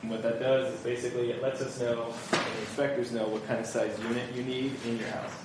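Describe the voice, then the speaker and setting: A man's voice: mid-range voice, level tone, quick pace. Man explaining forms in an echoey room. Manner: casual, hedging with kind of and um. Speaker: permit staff presenter. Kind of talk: walking through forms at a public workshop. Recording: echoey room, heard from far off, background noise.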